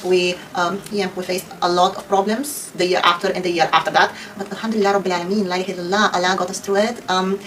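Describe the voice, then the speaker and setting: Fast-talking Bulgarian woman, earnest testimony. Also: on the low side, fairly even intonation, fairly bright tone, distant mic, slight background noise, rough recording. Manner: earnest, devout, frequent Arabic religious phrases. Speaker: convert sharing her story. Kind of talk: answering viewer questions, testimony.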